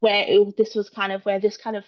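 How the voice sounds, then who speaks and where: A woman's voice: medium pitch, little pitch movement, brisk. Chatty Australian woman, slightly muffled. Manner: chatty, many fillers and quoted asides. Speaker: guest author. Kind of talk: casual interview chat.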